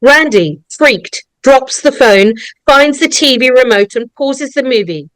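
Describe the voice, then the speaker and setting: A woman's voice: sits high, animated, measured pace, a bit bright, dry recording, low-quality recording. Woman narrator reading screenplay directions, dry recording. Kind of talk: reading screenplay stage directions at a table read.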